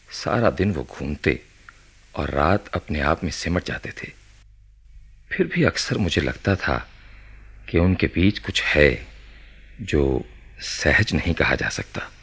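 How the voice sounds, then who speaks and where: A man acting a grave, reserved doctor in a radio play, husky-voiced, measured and courteous. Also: low-pitched, exaggerated voice. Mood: grave, reflective. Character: grave, guarded male doctor recounting a case. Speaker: doctor and narrator character.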